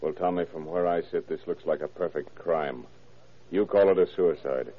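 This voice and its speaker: Deep-voiced, dry radio police detective. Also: male voice, moderate pace. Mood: firm, assured. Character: shrewd, commanding male police detective, dryly sarcastic. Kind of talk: old-time radio detective acting. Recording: muffled, narrow-band vintage radio recording.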